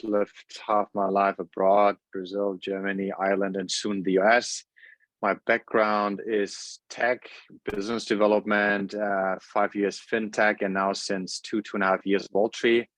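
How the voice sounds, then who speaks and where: Low flat-voiced man, dry sound. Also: moderate pace, no echo, low-quality recording. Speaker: panelist, startup co-founder. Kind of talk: self-introduction on a video call panel.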